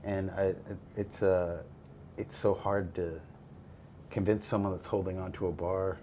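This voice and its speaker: Host, male, deep, easygoing and reflective, slight background hiss. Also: unhurried. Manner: relaxed, reflective, many fillers. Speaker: podcast host. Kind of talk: faith-based recovery conversation.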